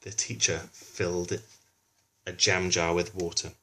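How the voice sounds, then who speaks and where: A man's voice: low-pitched, flat delivery, measured pace, background noise. A man reading a children's book aloud, narrating with chatty asides addressed to young listeners.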